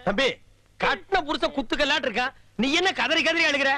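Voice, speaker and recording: An actor playing a loud, sharp-tongued village man who mocks and harangues everyone in a film comedy. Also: extremely high pitch, expressive, brisk, a little hiss or hum, exaggerated voice. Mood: scornful sarcasm. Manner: haranguing, sarcastic, insulting. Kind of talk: slapstick Tamil film comedy dialogue.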